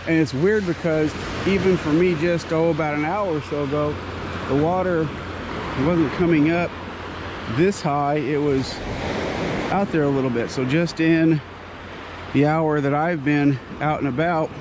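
A man's voice: a little high, steady tone, unhurried, long pauses. A man in his sixties walking a beach at sunrise, chatting and telling a rambling story with playful puns into a handheld action camera. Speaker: teacher vlogger. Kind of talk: casual walking vlog narration. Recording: surf noise behind. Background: ocean waves.

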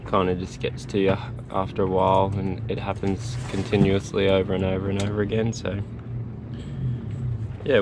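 A man's voice: deep voice, background noise. A young man, a sailing vlogger, talking in a weary, matter-of-fact way to an action camera on his boat. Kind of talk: casual vlog chat. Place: outdoors on a sailboat. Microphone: action camera at arm's length.

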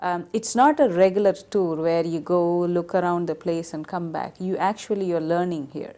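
A woman's voice: on the low side, normal speed, warm tone. A woman from the United States giving a thoughtful, hesitant testimonial about a tour. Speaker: tour participant. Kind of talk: testimonial interview.

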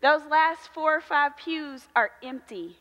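A woman pastor in her thirties preaches in a big church sanctuary, her voice high and bright. She takes her time, leaning on slow, emphatic repetitions. The room carries a long ringing reverb. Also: highs cut off. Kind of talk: sermon.

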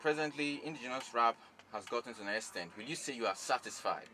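A man's voice: medium pitch, lively intonation, fast, background noise. A man interviewing an artist, asking formal questions politely and a bit hesitantly, recorded in a small studio. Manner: polite and deferential, hesitant with ums. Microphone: handheld mic.

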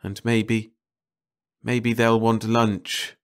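A man plays an uneasy roadside car-shop worker in an audiobook. He talks in a nervous, self-reassuring way, with a clear voice lifted above a deep natural register. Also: flat delivery, drawn-out words. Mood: nervous dread. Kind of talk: audiobook character voice.

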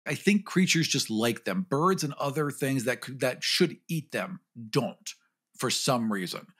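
A man's voice: mid-range voice, expressive, unhurried, fairly bright tone, husky. A man talking casually and jokingly as part of a podcast discussion.

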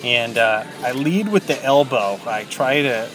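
Relaxed man narrating his workout over music. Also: medium pitch, unhurried, poor audio. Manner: relaxed, many ums and uhs. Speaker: fitness vlogger.

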